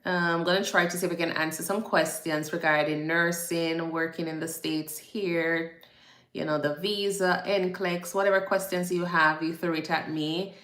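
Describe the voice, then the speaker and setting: A woman from Jamaica with a low voice chats casually on a livestream, greeting viewers by name and repeating herself often. She is a nurse. Her voice carries the echo of a room. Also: fairly even intonation, moderate pace, fairly bright tone. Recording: echoey room.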